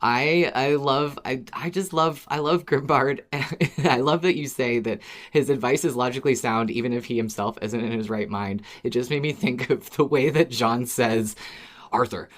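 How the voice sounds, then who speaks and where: Very deep-voiced, expressive woman podcaster. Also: moderate pace. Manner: expressive, given to repeating words for emphasis. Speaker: podcast co-host. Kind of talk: casual chat about an audio drama.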